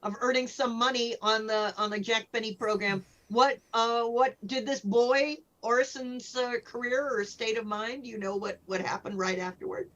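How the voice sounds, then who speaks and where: A woman's voice: a little high, fairly even intonation, normal speed, few pauses, a bit bright, lo-fi sound. A woman moderating a casual panel discussion in an informal, conversational way.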